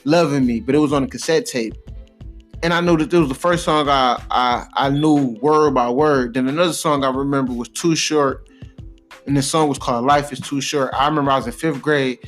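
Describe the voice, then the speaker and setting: A man's voice: mid-range voice, fairly even intonation, brisk, soft background music. A young American man in casual, slangy, profane podcast storytelling, repeating himself for emphasis. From Cleveland, United States. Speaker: podcast co-host.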